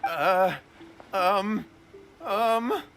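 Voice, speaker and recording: A man's voice: sits high, animated, extremely slow, fairly bright tone, soft background music, full character voice. A man voicing a nervous, cowardly young schemer in a video game, anxious and easily flustered. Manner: stammering, anxious.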